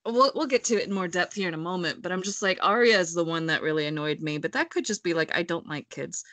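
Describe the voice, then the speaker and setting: A woman's voice: fairly low pitch, fast talker, fairly bright tone, dry recording. Casual woman, close dry sound. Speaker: book read-along panelist. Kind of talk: casual chat.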